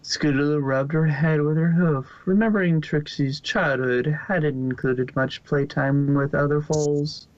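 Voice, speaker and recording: A man's voice: a little high, steady tone, unhurried, hardly any pauses, dry recording, lo-fi sound. A man narrating fan fiction as an amateur reader, in a storytelling style.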